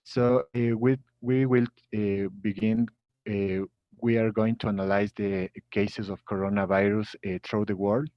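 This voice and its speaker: A man's voice: fairly low pitch, flat delivery, unhurried, no echo. A man from Ecuador with a Spanish accent, presenting on a video call, hesitant with frequent uhs. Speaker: guest speaker, economist. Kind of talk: online presentation. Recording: dull, dry lo-fi call audio.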